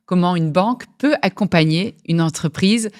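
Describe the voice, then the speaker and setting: A woman hosts a podcast interview, introducing her guests and putting questions to them in a courteous, formal manner. Her voice sits a little low. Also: moderate pace, darkish tone. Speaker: podcast host.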